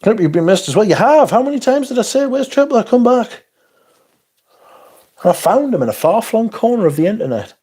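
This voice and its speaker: A man's voice: high voice, big pitch swings, fairly quick, mellow, breathy edge. A man hosting a livestream, reading out chat and bantering in a sweary, sarcastic way.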